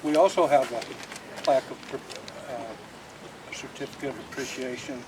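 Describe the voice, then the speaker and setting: A man presenting certificates of appreciation at an outdoor ceremony, speaking haltingly. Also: medium pitch, far from the mic. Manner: halting, hesitant reading. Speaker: veterans group representative presenting certificates. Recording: loud background noise.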